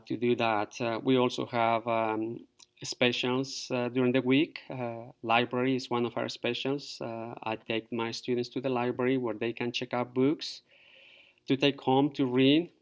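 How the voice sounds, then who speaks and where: Middle-aged teacher, halting. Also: male voice, mid-range voice, level tone, smooth. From Peru. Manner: halting, many fillers. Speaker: fourth grade teacher. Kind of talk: virtual open house presentation to parents.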